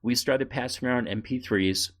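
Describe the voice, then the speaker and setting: A man's voice: mid-range voice, moderate pace, fairly bright tone. Middle-aged man telling stories casually. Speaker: podcast host. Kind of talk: casual conversational storytelling.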